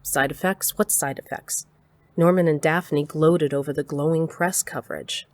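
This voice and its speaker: A woman's voice: deep voice, fairly even intonation, moderate pace, no echo. A woman delivering scripted narration for an audio drama.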